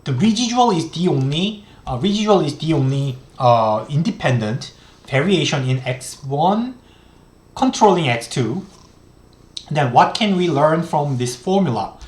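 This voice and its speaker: A man in his thirties, a native Korean speaker with a Korean accent, lecturing on economics in a halting, step-by-step way with many restarts. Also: on the high side, expressive, reverberant. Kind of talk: recorded online lecture. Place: small room indoors.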